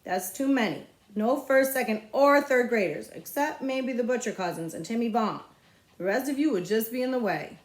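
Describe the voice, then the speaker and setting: A woman acts out a bossy schoolboy from a storybook, around fifth grade. He calls out orders in a loud, commanding way. The recording has a roomy echo. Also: a little high, animated, normal speed, voice pushed up, lightly acted. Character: bossy fifth-grade boy organizing races.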